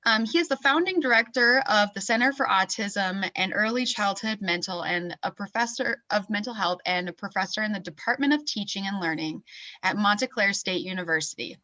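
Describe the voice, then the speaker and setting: A woman's voice: medium pitch, a little fast, sharp tone, dry recording, low-quality recording. A woman moderating an online policy forum, reading a speaker's bio with small slips and fillers over a video call. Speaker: event organizer and moderator.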